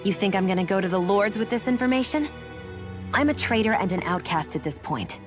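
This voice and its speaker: A woman's voice: mid-range voice, expressive, a little fast, natural voice. A woman playing a curt, pragmatic young outcast in a video game cutscene. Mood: scornful. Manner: curt, brusque. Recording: music behind.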